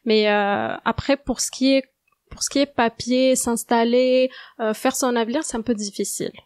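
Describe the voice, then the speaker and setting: A woman's voice: a little high, fairly even intonation, normal speed, darkish tone, clear, resonant tone, dry recording, clean studio sound. A woman of twenty-seven, answering questions conversationally as a podcast guest. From Algeria. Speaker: podcast guest, international student.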